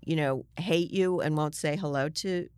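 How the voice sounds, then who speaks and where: A woman's voice: deep voice, moderate pace, darkish tone, smooth, no room sound, studio quality. A woman journalist speaking as a guest in a conversational interview, earnest and emphatic as she makes her points.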